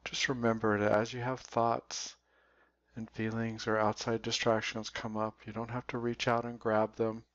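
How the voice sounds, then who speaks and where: A man leading a guided meditation in a slow, deliberate way, leaving long pauses. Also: lowish voice, completely flat delivery. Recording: dull, roomy recording.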